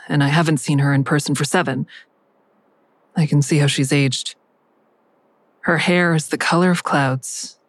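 Low, dry-voiced guarded daughter. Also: female voice, dry recording. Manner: terse, confrontational. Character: guarded, bitter adult daughter of a dying mother. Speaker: lead actor narrating and playing a daughter. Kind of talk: audio drama acting with first-person narration.

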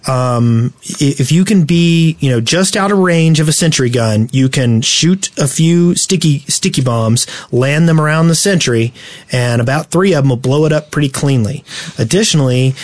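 A man co-hosting a casual gaming podcast, explaining tactics in a halting, instructional way. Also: fairly high pitch, no room sound. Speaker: podcast host.

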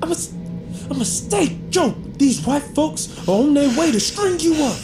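A man's voice: very high voice, sing-song, normal speed. An actor playing a loyal man, a concerned friend, in an audio drama. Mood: alarmed. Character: alarmed, loyal Southern friend pleading for sense. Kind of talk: dramatic audio fiction acting. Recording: music behind the voice.

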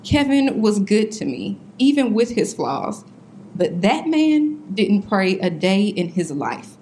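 A woman's voice: medium pitch, big pitch swings, slow, noisy background. An actress in an audio drama playing a grieving, defiant young woman who talks in a blunt, colloquial way while questioned by police. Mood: insistent. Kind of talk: full-cast audio drama acting.

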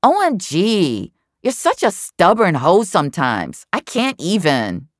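A woman's voice: lowish voice, wildly swooping pitch, slow pace, no room sound, pitched above the performer's own voice, full character voice. A woman voicing a slangy, insistent young female friend who gossips and frets in an audio story. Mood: exasperated.

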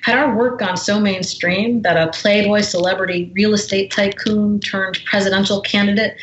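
A woman's voice: medium pitch, fairly even intonation, moderate pace, a bit bright, echoey room, muffled recording, a little hiss or hum. A woman guest who is an author, speaking in a forthright, indignant way and reading from her memoir. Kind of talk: reading aloud from her memoir, then conversation.

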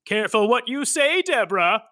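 An actor playing a gossipy narrator who delivers arch, teasing asides. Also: male voice, very high voice, big pitch swings, moderate pace, bright, forceful tone, heavily acted. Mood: catty.

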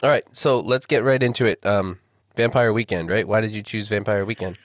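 A man's voice: lowish voice, fairly quick, dry recording, studio quality. Young man hosting a podcast with a cold. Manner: easygoing, self-deprecating. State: has a bad cold. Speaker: podcast host. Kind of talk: casual podcast chat.

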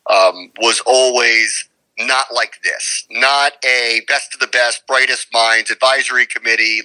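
A man's voice: medium pitch, bright, forceful tone, low-quality recording. A man giving conversational sports commentary as a radio guest, emphatic and opinionated, often posing rhetorical questions.

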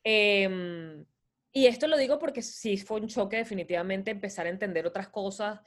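A woman from Venezuela speaks with a Venezuelan accent in a casual interview. She talks in a measured, thoughtful way with some hesitant restarts, her voice at a middle pitch. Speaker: guest.

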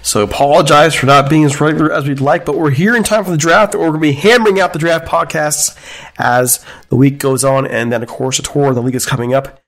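A man's voice: a little high, fairly even intonation, quick pace, a bit bright. A man hosting a casual podcast chat, easygoing and chatty, asking his co-host open questions.

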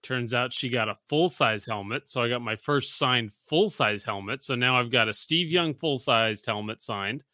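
A man's voice: medium pitch, normal speed, few pauses, sharp tone, no echo, clean studio sound. A man in his forties hosting a podcast, telling a long rambling story in casual banter and swearing freely. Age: 40s. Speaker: podcast host.

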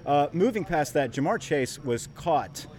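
A man hosting a casual sports podcast, talking in a relaxed, rambling way with lots of fillers. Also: fairly high pitch, measured pace. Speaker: podcast host.

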